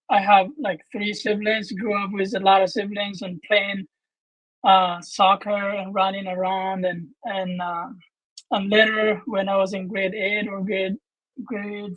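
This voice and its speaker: A man, answering as a guest on a video call in an earnest, halting way. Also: high voice, fairly bright tone, dry recording, lo-fi sound. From Ethiopia. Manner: earnest, with frequent restarts and fillers. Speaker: guest, medical student. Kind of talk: casual interview answers.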